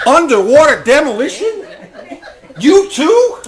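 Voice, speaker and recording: Boastful, merry husband for a stage comedy, played by a man: unhurried, very animated delivery. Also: extremely high pitch, full character voice. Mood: giddy, merry. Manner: jokey, exclaiming, laughs through his lines. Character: boastful, merry husband claiming to be a secret hitman. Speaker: stage actor. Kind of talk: live one-act comedy play dialogue. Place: indoor theatre stage, workshop performance. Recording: echoey stage recording with noise.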